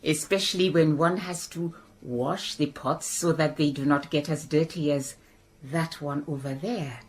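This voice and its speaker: A composed, shrewd middle-aged woman in a radio play , played by a woman. She speaks in a fairly low, measured voice with a dry edge. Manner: calm, measured, dryly pointed. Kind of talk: radio drama acting.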